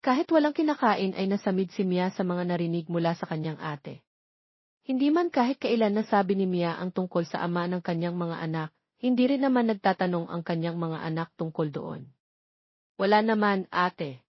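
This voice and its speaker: A woman's voice: lowish voice, animated, fairly quick, dry recording. A woman with a Filipino accent reading a scripted romance audio story as its narrator. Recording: dull, lo-fi but dry recording.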